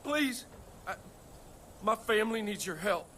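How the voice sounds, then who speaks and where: An actor playing a frantic, desperate rural husband in a video game, agitated and pleading. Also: male voice, high-pitched, lively intonation, unhurried, sharp tone, a little hiss or hum, heavily acted. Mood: panicked, pleading. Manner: agitated, pleading, foul-mouthed. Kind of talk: cinematic video game acting.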